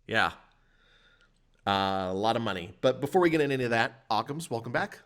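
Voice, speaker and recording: A man with an average-pitched voice hosts a loose comedy podcast. He talks casually and asks his questions deadpan, keeping the banter moving. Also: a little fast. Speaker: podcast host.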